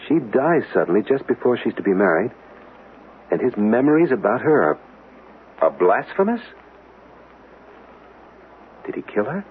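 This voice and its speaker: A man's voice: medium pitch, sing-song, normal speed, pauses often, close to an everyday voice. An actor playing an intent, inquisitive man in a radio drama, earnest, insistent and dramatic in delivery. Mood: troubled, questioning. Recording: tinny, noisy vintage radio broadcast.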